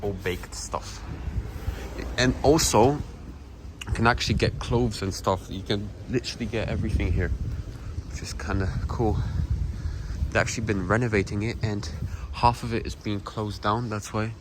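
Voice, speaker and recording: A man's voice: lowish voice, fairly even intonation, moderate pace. Young Polish man vlogging outdoors. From Poland. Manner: rambling, self-correcting. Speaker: travel vlogger. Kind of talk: casual walking tour. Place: outdoor town square. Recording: outdoor street noise.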